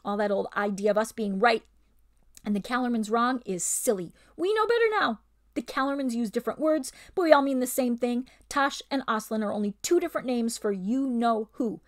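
A woman's voice: on the high side, brisk, natural voice. A woman voicing a scheming, bullying male ape who snarls and lords it over the other animals in a storybook reading. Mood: snarling. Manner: snarling, domineering, sly.

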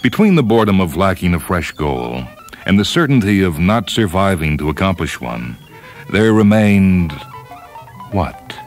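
A man reading a story as a dramatic narrator, with measured, solemn and deliberate phrasing. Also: low-pitched. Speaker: narrator reading short fiction. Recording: music under the voice. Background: orchestral piano music.

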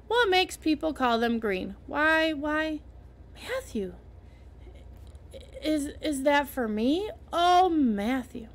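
A woman's voice: high-pitched, big pitch swings, slow pace, exaggerated voice. A woman voicing a dreamy, gushing young orphan girl who talks in breathless, rapturous exclamations. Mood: delighted.

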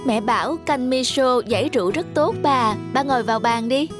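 A woman voicing a cheerful, caring little girl in an animated dub, with a bright, chirpy delivery over music. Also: high-pitched, fairly quick, close to an everyday voice.

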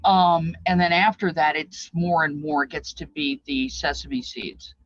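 A woman's voice: low voice, fairly even intonation, measured pace, no echo, muffled recording. An older woman talking informally and reflectively, explaining things in a rambling way with bits of reminiscence. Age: older, past fifties. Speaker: presenter explaining feast dishes. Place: small cluttered craft room, indoors. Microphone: webcam at desk distance.

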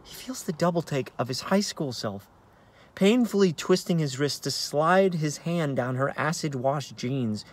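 A man in his thirties with an American accent, an actor reading a play as conversational, halting narration with uneven emphasis, recorded inside a car. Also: on the high side, expressive. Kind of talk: dramatic narration of a play read on book. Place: inside a parked car in daylight.

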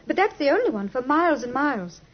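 A woman's voice: high-pitched, big pitch swings, fast. An actress playing a genteel, earnest young British lady client, speaking expressively in the manner of 1950s radio drama. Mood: earnest, puzzled. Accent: British English. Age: young adult. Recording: muffled, lo-fi 1950s radio recording.

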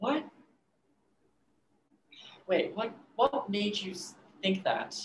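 A man's voice: high voice, big pitch swings, very slow, full character voice. Echoey, touchy young tortured artist played by a man. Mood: defensive. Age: young adult. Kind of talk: virtual staged play reading. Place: bare painted-wall room, video call. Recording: echoey room with background hiss.

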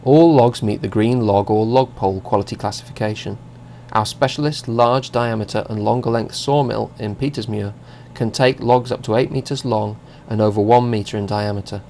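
Man, low gravelly voice, mellow tone, measured corporate narration. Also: slight background noise. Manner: even, measured reading. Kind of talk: scripted narration.

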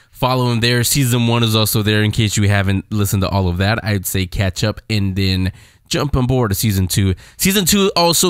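A man's voice: medium pitch, a bit bright, dry recording, clean studio sound. A man presenting a podcast casually as its host.

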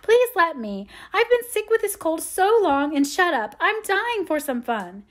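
A woman's voice: high-pitched, expressive, a little fast, voice pushed up. A woman voicing a young, stubborn, whiny little sister who coaxes and pleads in a storybook reading. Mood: pleading. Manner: coaxing, pleading, petulant.

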